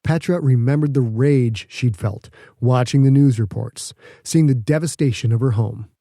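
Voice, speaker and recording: A man's voice: mid-range voice, moderate pace, mellow, no room sound. A man reading scripted fiction as an audiobook narrator in a studio. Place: dry studio.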